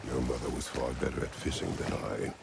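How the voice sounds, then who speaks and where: A man's voice: a bass voice, little pitch movement, brisk, gravelly, very noisy, heavily acted. An actor playing a stern middle-aged warrior god and father in a video game, terse, grave and commanding. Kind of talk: dramatic video game acting.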